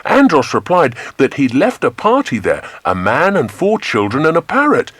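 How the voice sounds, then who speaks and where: An actor playing a hearty, concerned pilot friend in an audiobook drama, brisk and emphatic. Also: male voice, on the high side, huge pitch swings, fairly bright tone, slightly rough, dry recording, full character voice. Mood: animated recounting.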